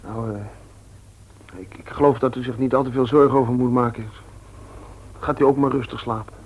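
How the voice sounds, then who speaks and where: A man's voice: mid-range voice, fairly even intonation, fairly quick, a little mellow, husky, low-quality recording. A man playing an insolent, rebellious young son in a radio play, flippant and cool, sometimes hesitant. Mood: hesitant, sceptical. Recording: old radio play recording.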